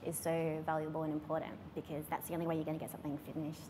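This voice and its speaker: A woman, a writer making emphatic points in quick conversation on a panel. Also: low voice, completely flat delivery, background noise. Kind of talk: panel discussion. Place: large hall, seated on stage.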